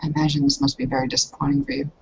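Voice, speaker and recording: A woman voicing a stoic, ashamed young female prisoner in a tabletop roleplay, subdued and halting. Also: a bass voice, monotone. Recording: dull, slightly noisy online call audio.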